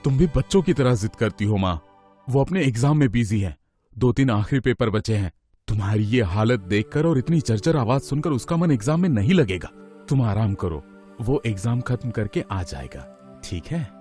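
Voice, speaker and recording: A man playing a practical middle-aged father in a moral story, speaking in a measured, firm way. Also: mid-range voice, lively intonation, warm tone, faint music behind, close to an everyday voice.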